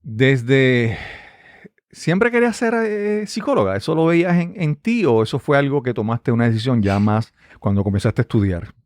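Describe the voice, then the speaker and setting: A man's voice: medium pitch, animated, moderate pace, no room sound, clean studio sound. A man hosting a podcast interview, asking rambling friendly questions and often repeating words. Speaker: podcast host.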